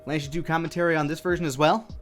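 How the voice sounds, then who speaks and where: A man's voice: a little high, steady tone, rapid-fire, fairly bright tone, faint music behind. A man giving casual, off-the-cuff commentary as a video creator, rambling and thinking aloud as he goes.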